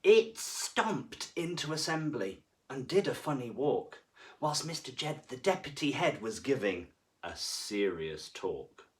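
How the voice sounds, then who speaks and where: A man reading to children as a storyteller, with expressive, deliberate and dramatic phrasing. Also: medium pitch. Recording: voice far from the mic.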